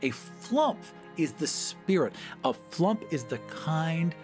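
A man's voice: fairly high pitch, big pitch swings, slow, darkish tone, soft background music. A man chatting casually as a podcast guest, with playful, theatrical emphasis.

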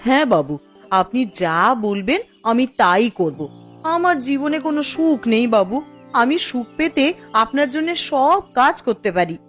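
Woman playing scheming village wife, high slow voice. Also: big pitch swings, faint music behind. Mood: eager, obedient. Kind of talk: Bengali fairy-tale cartoon voice acting.

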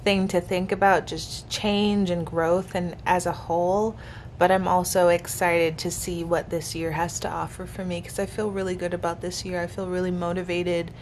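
A woman's voice: fairly low pitch, a little hiss or hum. A woman of twenty-five talking casually and earnestly to the camera from a hotel room, grateful and chatty. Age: 20s. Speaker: vlogger. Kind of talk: casual reflective vlog talk. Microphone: camera held close at arm's length.

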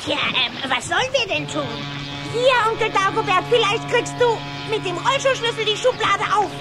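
Eager, very high-pitched boy duckling. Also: animated, moderate pace, over loud music. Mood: earnest. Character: eager young boy duckling, cartoon nephew.

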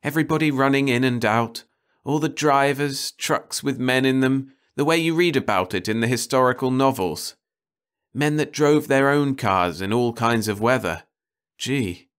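A man's voice: medium pitch, normal speed, a bit bright, smooth, pitched above the performer's own voice, natural voice. A man voicing a tired, fussy, wistful woman traveller in an audiobook story, with a weary, complaining and vague manner. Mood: dreamy. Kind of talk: audiobook character dialogue.

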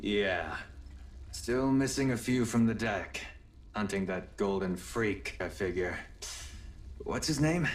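Slow, weary, sardonic drifter. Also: male voice, on the low side, lively intonation, recorded from a distance. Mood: dry, wry. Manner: laconic, wry, unhurried. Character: weary, sardonic older alternate self of a young hero. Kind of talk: video game cutscene dialogue.

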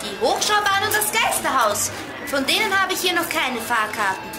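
A woman playing a sharp, decisive young girl sleuth in a children's audio drama, speaking in clipped statements. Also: high-pitched, big pitch swings, normal speed, a crowd in the background. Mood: alert, confident. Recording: loud music behind the voice.

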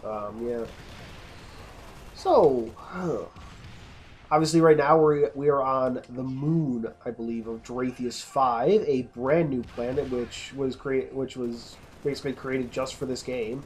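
A man's voice: mid-range voice, slow pace, slight background noise. A man casually commenting over gameplay, rambling and often restarting his sentences. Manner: rambling, self-interrupting, casual. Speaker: let's play host.

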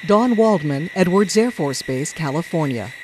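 A woman's voice: sits low, animated, normal speed, background noise. American woman narrating a scripted news report.

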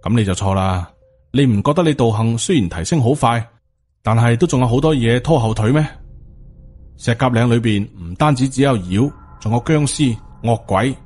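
A man's voice: medium pitch, animated, normal speed, darkish tone, breathy edge, soft background music. A man with a Hong Kong Cantonese accent voicing a grim, short-tempered, protective undead Taoist master in an audio storybook, speaking with stern emphasis. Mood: stern warning.

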